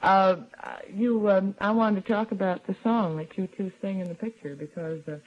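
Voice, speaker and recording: A woman's voice: medium pitch, steady tone, moderate pace. An American woman interviewing in a chatty 1940s radio style, coaxing her guests along with questions. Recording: dull, lo-fi old radio recording.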